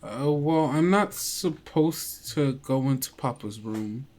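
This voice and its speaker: A man's voice: mid-range voice, expressive, slow, a little mellow. A man voicing a shy, obedient little girl in a tabletop game, speaking hesitantly. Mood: hesitant, uneasy.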